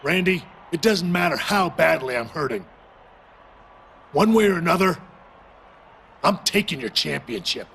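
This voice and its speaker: A battle-worn veteran wrestler in a video game promo. He speaks earnestly and with determined emphasis in a slightly high voice. A little noise is audible behind him. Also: expressive, measured pace, vocal fry, pauses often, fairly bright tone, exaggerated voice.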